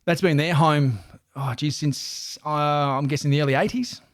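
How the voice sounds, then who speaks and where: A man's voice: on the high side, steady tone, normal speed. An Australian man in his forties from Newcastle, a stadium announcer talking as a podcast guest in a friendly, rambling way with many restarts. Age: 40s. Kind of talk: casual podcast interview.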